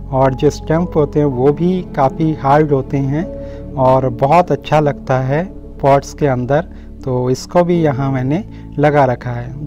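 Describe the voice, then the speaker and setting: Higher-pitched mellow man giving a garden tour. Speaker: host of a home gardening channel. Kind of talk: casual explanatory garden tour voice-over. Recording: slightly muffled audio with music underneath. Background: instrumental music.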